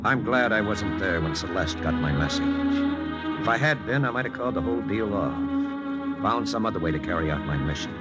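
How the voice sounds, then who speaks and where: An actor with an American accent playing a world-weary intelligence lieutenant in a 1940s radio drama, grave and measured in a confessional way. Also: male voice, on the low side, lively intonation, close to an everyday voice. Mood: grave, regretful. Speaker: actor narrating and playing the lead. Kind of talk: 1940s radio drama acting, first-person narration. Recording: old radio recording with loud music.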